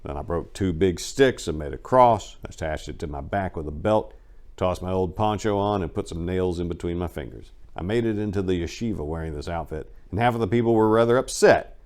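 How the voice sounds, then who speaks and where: A man performing a dramatic reading of a first-person story, with theatrical, emphatic delivery and deliberate pauses. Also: sits low, a little mellow. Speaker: host and story reader.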